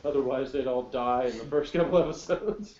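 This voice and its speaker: Guy joking around about tv shows, slightly high voice, dull mic w some hiss. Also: a little fast, mellow. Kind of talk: casual chat, TV episode review.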